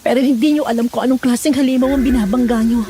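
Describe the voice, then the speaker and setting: A woman's voice: a little high, fairly even intonation, fast, mellow, a little hiss or hum, close to an everyday voice. A woman playing a frightened, guarded young village woman in an audio horror drama, stammering and pleading. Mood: anxious.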